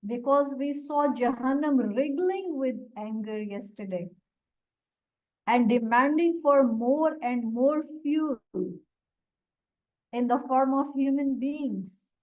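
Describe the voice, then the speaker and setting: A woman whose first language is Urdu, teaching a Quran lesson and explaining Arabic words one by one in a deliberate way. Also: high voice. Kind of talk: slow explanatory religious lesson. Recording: dull lo-fi recording.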